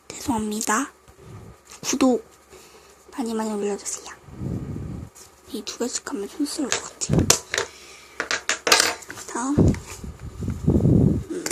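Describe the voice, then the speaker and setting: A woman with a cold casually narrating a craft tutorial, apologetic and muttering self-critical asides. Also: on the high side. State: voice affected by a cold. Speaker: craft video host. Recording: noisy home recording with clicks.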